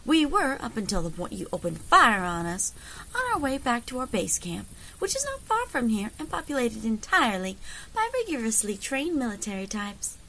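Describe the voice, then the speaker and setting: A high, very animated woman's voice acting a folksy, unflappable scout leader in a frontier audio drama. She has a drawl and a wry, persuasive way of speechmaking, with noticeable background noise behind her. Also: normal speed, exaggerated voice, poor audio.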